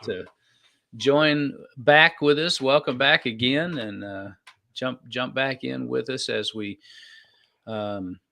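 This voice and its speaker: A man's voice: mid-range voice, takes it slowly, vocal fry, bright, forceful tone, slight background noise. A man with a folksy, informal manner, chatting casually as a podcast co-host. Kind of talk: casual chat.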